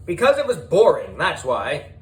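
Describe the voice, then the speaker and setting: Brash woman producer read by a male narrator, high, animated, selling hard with big emphasis, for a kids' storybook. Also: normal speed, rough recording. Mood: scornful, emphatic. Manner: emphatic showbiz hype. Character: brash, pushy female TV show producer.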